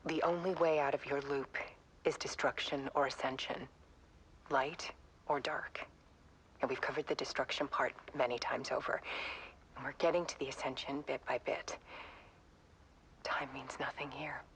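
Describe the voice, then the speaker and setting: An actress voicing a devoted wife in a video game, speaking in a soft, breathy, intimate whisper. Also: female voice, a bass voice, fairly even intonation, moderate pace, pauses often, slight background noise. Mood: hushed. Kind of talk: video game cutscene voice acting.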